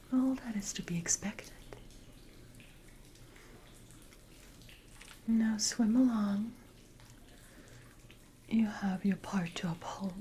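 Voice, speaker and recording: A woman playing a predatory, commanding siren in an ASMR roleplay, whispering breathily with slow, deliberate, menacing calm. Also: on the high side, slight background noise. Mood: calm, controlling. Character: predatory, commanding female siren possessing her victim.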